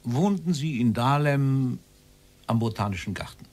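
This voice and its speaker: An actor playing a stern interrogator in a documentary radio drama, formal and probing in manner. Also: male voice, mid-range voice, lively intonation, a little slow, darkish tone. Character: stern male interrogator questioning a Nazi-era official.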